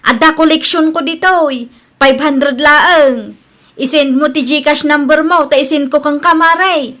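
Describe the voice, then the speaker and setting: A woman's voice: high voice, unhurried, fairly bright tone. A woman playing an evasive, glib married friend in an Ilocano radio drama.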